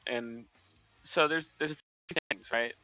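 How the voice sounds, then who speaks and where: A man's voice: mid-range voice, a little slow, bright, forceful tone, no room sound, faint music behind, poor audio. A young man telling a story casually on a stream, rambling with many restarts and fillers and often breaking into laughter. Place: indoors at a streaming setup.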